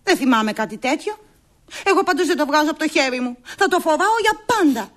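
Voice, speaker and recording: A woman's voice: sits high, animated, fairly bright tone. An actress playing a sweet but stubborn young woman in a comedy, with a dreamy, girlish, slightly pouting manner. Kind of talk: comedy acting.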